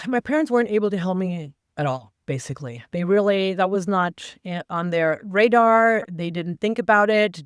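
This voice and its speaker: A woman whose first language is not English, guesting on a podcast and telling her story in a lively way with quoted asides. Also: medium pitch, clear, resonant tone, dry recording, studio quality. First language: non-English first language. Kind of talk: conversational interview.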